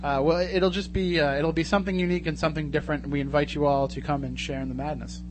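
Chatty higher-voiced male radio host. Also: fairly even intonation, fast, slight background noise. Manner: chatty, jokey. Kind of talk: casual radio talk. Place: radio studio.

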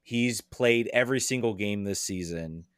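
A man with a fairly low voice talks through soccer analysis in a casual way. He is hesitant, often thinking aloud and hedging, and the sound is dry and close. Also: normal speed, clear, resonant tone, no echo. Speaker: podcast guest, soccer analyst. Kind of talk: casual sports discussion.

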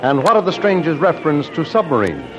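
A man's voice: on the high side, wildly swooping pitch, fairly quick. A man announcing a 1930s radio serial with dramatic, emphatic, formal scripted narration. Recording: dull vintage radio recording. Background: music.

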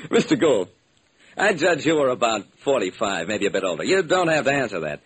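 Expressive, slightly husky male detective narrator. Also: mid-range voice, a little fast, low-quality recording. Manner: dramatic storytelling. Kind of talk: scripted first-person radio detective narration.

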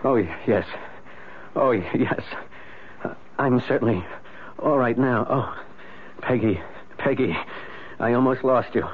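A man's voice: mid-range voice, measured pace, pauses often, husky. An actor playing a proud, evasive businessman in a 1970s radio drama, insistent and sometimes hesitant. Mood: anxious, relieved. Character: proud, evasive high-powered businessman, troubled and stubborn. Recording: dull, noisy old radio recording.

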